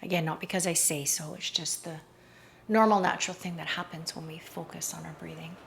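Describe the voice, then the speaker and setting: A woman leading a slow guided meditation in a measured, hushed voice that often softens into breathiness, recorded outdoors. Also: low-pitched, fairly even intonation, creaky, a little hiss or hum. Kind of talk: slow, soothing guided meditation with hypnotic induction. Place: outdoors in a garden. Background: faint outdoor garden ambience.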